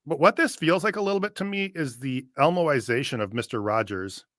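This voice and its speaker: Male co-host for casual analytical commentary; bright, forward voice, dry close sound. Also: fairly high pitch, expressive, a little fast, no room sound. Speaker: reaction show co-host.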